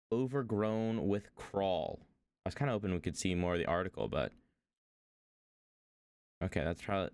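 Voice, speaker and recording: A young man chats through a reaction stream, rambling and hesitant. His voice sits a little low, smooth and clear, and he fills every pause with likes and ums. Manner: rambling, hesitant, with many fillers. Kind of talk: casual reaction commentary.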